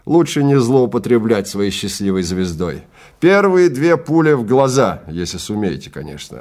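Man acting stern deep-voiced safari guide. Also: darkish tone. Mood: brisk, instructive. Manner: authoritative, emphatic, solemn. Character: stern, commanding male time-safari guide.

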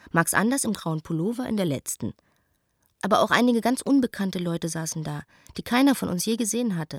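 A woman performs a reflective young actress in a radio play, telling a friend her news by letter. She has an earnest, narrative delivery at medium pitch, recorded very dry. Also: dry recording, natural voice. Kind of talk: radio drama letter monologue.

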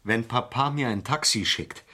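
An actor playing a formal, earnest young lawyer, a commissioner's son, in a vintage German radio crime drama. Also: male voice, mid-range voice, moderate pace, bright, forceful tone. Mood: earnest, uneasy. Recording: old radio recording with clear hiss and clicks.

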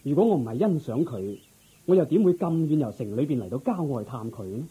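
A man's voice: mid-range voice, big pitch swings, brisk, dark tone, breathy edge, low-quality recording. An actor playing a dignified, courteous and earnest king in a Cantonese radio drama, stately yet humble. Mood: calm, admiring.

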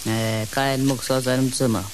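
A woman's voice: very deep voice, flat delivery, normal speed, a little hiss or hum, rough recording. An actress in a German radio play playing an older, pious housekeeper, blunt, motherly and needling in a dry, folksy way. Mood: matter-of-fact. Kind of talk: German radio drama acting.

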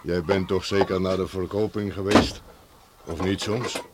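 A man's voice: low-pitched, fairly even intonation, a little slow. Trage oude buurman met lage stem. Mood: teasing. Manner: drawling, repeats himself, chuckling. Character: nosy, teasing old male village neighbour, slow and chuckling. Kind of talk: Dutch radio drama acting. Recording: old radio recording, faint noise.

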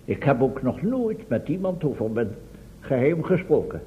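Man playing a pompous, animated professor on band-limited radio. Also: moderate pace, natural voice. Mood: proudly secretive. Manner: pompous, hesitant, searching for words. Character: eccentric, self-important male professor and secretive inventor. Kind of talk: Dutch radio serial acting. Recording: muffled phone-like old radio recording, rumbling noise.

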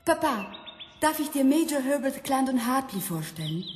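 High, tender young fiancée. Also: female voice, sing-song, normal speed, faint music behind. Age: 20s. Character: devoted young Italian fiancée, tender and eager. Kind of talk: German radio drama acting. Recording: echoey reverb like a hall.

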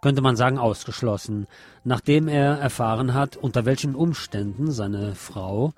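A man's voice: medium pitch, moderate pace, darkish tone, no echo. A man giving a personal, opinionated film review in a conversational radio commentary style.

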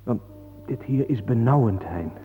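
An actor playing a troubled, easily appalled companion, asking earnest, uneasy questions in a radio play. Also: male voice, medium pitch, animated, a little slow, lightly acted. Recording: noisy, tinny phone-like old radio recording.

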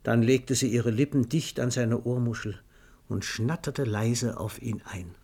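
A man narrating a literary radio play in a measured storytelling manner. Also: mid-range voice, dark tone, husky.

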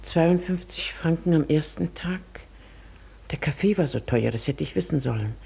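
Husky, mellow genteel lady, secretly desperate. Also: low-pitched, expressive, normal speed, full character voice. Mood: quietly desperate. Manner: polite, composed, confiding when talking to herself. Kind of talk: German radio play acting.

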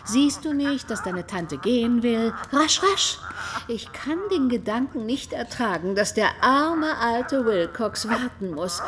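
An actress playing a bossy, fussing aunt in a German radio play, officious and effusive. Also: female voice, on the high side, lively intonation, slow, a bit bright. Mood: bossy, hurried. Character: bossy, fussing, flattering aunt of a genteel household.

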